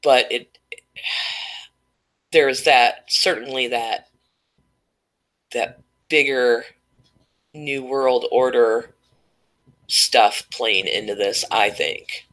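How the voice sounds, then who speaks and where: Stuffy woman chatting on her live stream, voice going, kinda rambly, bright sound. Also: very deep voice, steady tone, very slow, pauses often. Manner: rambling, chatty, reading chat names aloud. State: stuffed up and losing voice. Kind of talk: casual live stream chat.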